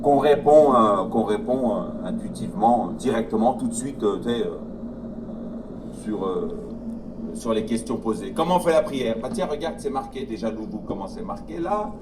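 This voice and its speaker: A man's voice: on the low side, lively intonation, normal speed. Distant man talking over background noise. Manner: conversational, hesitant, lots of fillers. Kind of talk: casual explanation in a debate. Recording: distant mic with ambient noise.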